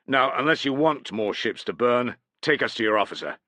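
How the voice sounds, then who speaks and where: Man doing a hard-bitten veteran soldier, snarling, foul-mouthed, sharp bright voice. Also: medium pitch, expressive, normal speed, dry recording. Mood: angry. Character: tough angry male Roman legionary veteran.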